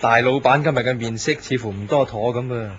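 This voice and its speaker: A man's voice: mid-range voice, fairly quick, slight background noise, lightly acted. A man voicing a nosy, joking office worker in a radio drama. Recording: muffled lo-fi recording.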